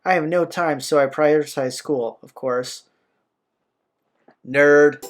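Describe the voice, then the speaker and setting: A man's voice: a little high, takes it slowly. A man hosting a let's play, reading game narration aloud with casual asides. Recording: game music behind the voice.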